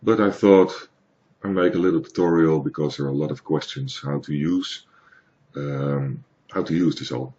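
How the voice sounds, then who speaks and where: Very deep-voiced Dutch man explaining. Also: normal speed, warm tone, highs cut off. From Netherlands. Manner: hesitant, many fillers and restarts, plain explanatory. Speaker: tutorial maker. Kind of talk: screen-recorded software tutorial.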